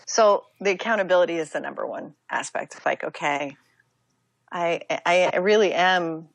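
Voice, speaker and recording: An American woman speaking as an interview guest, talking reflectively in a halting, casual way with many asides. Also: fairly low pitch, gravelly, dry recording, rough recording. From United States. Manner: reflective, halting, with fillers and self-quoting asides. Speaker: interview guest, yoga teacher and author.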